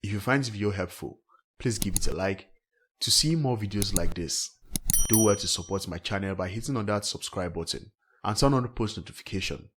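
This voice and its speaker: Deep, husky man narrating a tutorial. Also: brisk, fairly bright tone. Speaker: tech tutorial host. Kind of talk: scripted step-by-step software tutorial narration.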